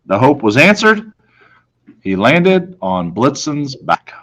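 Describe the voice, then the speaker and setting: A man reading a children's storybook aloud in a dramatic manner, with deliberate pauses. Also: mid-range voice, very animated, a bit bright. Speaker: storybook reader.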